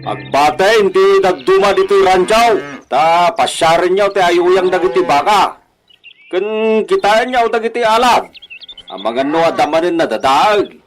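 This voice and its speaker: A commanding male ranch boss, played by an actor with a high-pitched voice. He issues instructions in the manner of an Ilocano village radio drama. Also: expressive, measured pace, faint music behind. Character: commanding ranch boss giving orders to hands.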